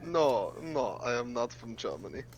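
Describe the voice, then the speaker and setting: A man's voice: mid-range voice, big pitch swings, normal speed, a little hiss or hum, natural voice. A man voicing a bald, burly German bodybuilder who mocks and boasts in a thick put-on accent. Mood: smug mocking. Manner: boastful, playfully scornful.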